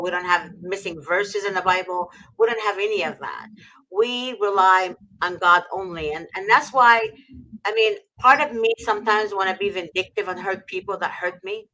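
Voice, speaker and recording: Fervent preachy woman, bright voice. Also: on the low side, rough recording. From Egypt. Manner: fervent, preachy, repeats for emphasis. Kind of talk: impassioned faith talk.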